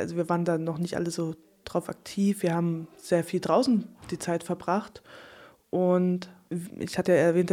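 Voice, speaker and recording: A woman speaks openly and reflectively about her past. Her voice sits on the low side and has a warm, mellow tone. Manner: open, candid, reflective. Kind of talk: personal recollection.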